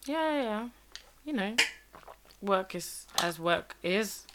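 A young British woman co-hosting a podcast, relaxed and unhurried as she explains things at length. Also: mid-range voice, very noisy. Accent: British English. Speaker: podcast co-host. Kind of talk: casual chat.

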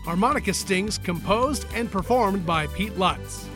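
A man's voice: high-pitched, a little slow. An American man working as announcer, reading scripted narration for an old-time style radio serial. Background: loud music.

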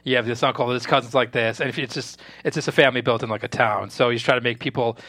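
Fast-talking man in a casual interview. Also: mid-range voice, fairly even intonation, sharp tone, no room sound. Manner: informal, loosely phrased explanations. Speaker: screenwriter being interviewed.

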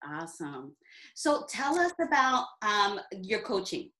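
A woman hosting a podcast, asking questions in a casual interview with quick, friendly reactions. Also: mid-range voice, big pitch swings, bright, forceful tone. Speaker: podcast host.

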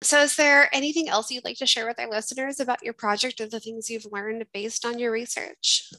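A woman's voice: fairly high pitch, steady tone, a little fast, bright, forceful tone, no room sound. A woman interviewer asking short, polite questions and thanking her guest. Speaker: podcast co-host interviewer.

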